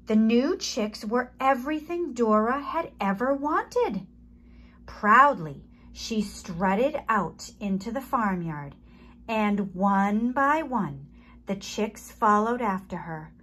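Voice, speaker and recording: A woman storyteller reading a children's story aloud in an expressive, deliberate manner. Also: a little high.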